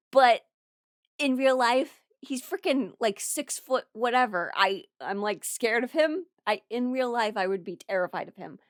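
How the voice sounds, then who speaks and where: A woman's voice: mid-range voice, no room sound, clean studio sound. A woman rambling casually as a fan, gushing and emphatic, with dramatic exclamations and casual swearing. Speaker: voice content creator ranking characters.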